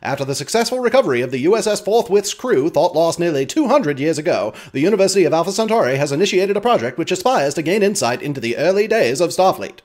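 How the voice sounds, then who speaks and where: Rapid-fire old-time radio newsman. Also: a little high, big pitch swings, a little mellow. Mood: urgent, brisk. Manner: clipped, urgent, punchy headline delivery. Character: brisk hologram of an early twentieth-century radio news commentator. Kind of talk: 1940s radio newscast acting.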